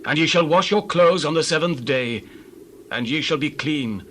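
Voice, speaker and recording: A man plays a solemn priest in a scripture drama. His fairly high voice gives formal, measured instruction, with a faint noise behind it. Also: fairly bright tone, lightly acted. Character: Eleazar, solemn male priest instructing soldiers.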